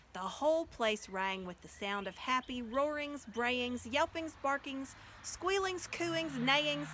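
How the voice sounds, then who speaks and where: A woman reading a children's story aloud as an expressive storyteller. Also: on the high side, slight background noise.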